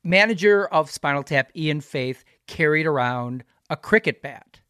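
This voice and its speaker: A man with a slightly high voice banters as a podcast host. He is wry and quick to wisecrack, and the sound is close and dry. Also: expressive, pushed and bright, clean tone, no echo. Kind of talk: casual joking chat.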